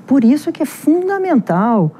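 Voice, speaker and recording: A middle-aged woman with a carioca accent from Rio, a genetics researcher answering interview questions in a chatty, animated way with many fillers. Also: high-pitched, measured pace. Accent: Carioca Portuguese. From Rio de Janeiro, Brazil.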